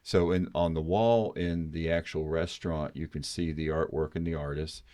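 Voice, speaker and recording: A man's voice: extremely low pitch, fairly even intonation, moderate pace, warm tone. A man hosting a podcast, chatting casually and enthusiastically with rambling recommendations. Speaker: podcast host.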